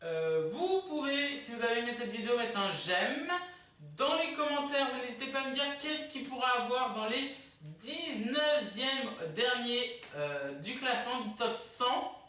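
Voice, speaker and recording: A presenter casually hosting a countdown video, chatty and halting, with self-corrections. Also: medium pitch, lively intonation, sharp tone. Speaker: host of a countdown video channel. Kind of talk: casual presenting of a ranking list to camera. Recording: echoey room, distant mic.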